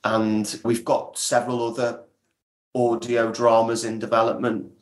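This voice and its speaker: A man's voice: medium pitch, completely flat delivery, a little slow. A man speaking as an interview guest, explaining his work as a producer in casual talk. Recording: roomy, echoey sound.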